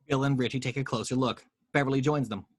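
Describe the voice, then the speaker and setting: A man's voice: medium pitch, fairly even intonation, fast talker, no room sound, clean studio sound. A man narrating the action lines of a screenplay table read, with wry, playful asides.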